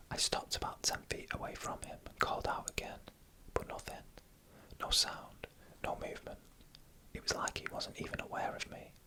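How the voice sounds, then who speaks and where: This is an ASMR narrator telling creepy stories entirely in a whisper. The delivery is hushed and breath-only, with a bright, sharp edge. Phrases are set apart by deliberate pauses. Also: low-quality recording. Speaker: narrator reading horror stories.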